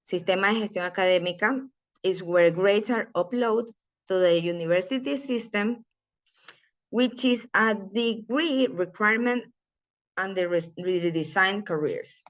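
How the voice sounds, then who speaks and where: A woman giving an academic conference presentation in a halting way, often restarting words. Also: medium pitch. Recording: dull lo-fi recording.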